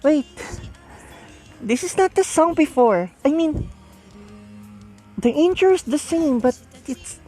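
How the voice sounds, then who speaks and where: A woman's voice: sits high, lively intonation, slow pace, long pauses, dark tone, faint music behind, low-quality recording. A young woman giving casual gameplay commentary, repeating her words and reacting aloud to what she sees. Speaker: visual novel streamer.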